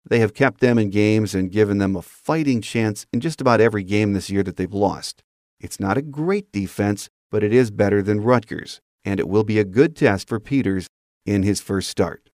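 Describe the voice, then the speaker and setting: A man's voice: on the low side, darkish tone, no room sound, clean studio sound. An American man hosting a sports podcast, delivering scripted commentary as a monologue. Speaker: podcast host.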